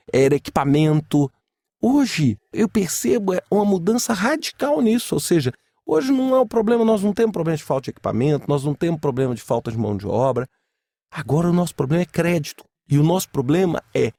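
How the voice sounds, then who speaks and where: A man's voice: on the high side, darkish tone, no room sound, clean studio sound. A man with a Brazilian accent hosting a podcast alone, speaking informally and emphatically, with repeated phrases and rhetorical questions. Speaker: podcast host. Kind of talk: informal solo podcast commentary.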